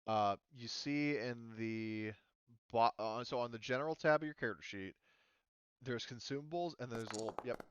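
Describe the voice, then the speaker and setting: A man running a tabletop roleplaying game as game master, talking casually and haltingly as he thinks through the rules aloud. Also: medium pitch, clean tone, no room sound, muffled recording. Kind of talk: casual tabletop game refereeing over online session.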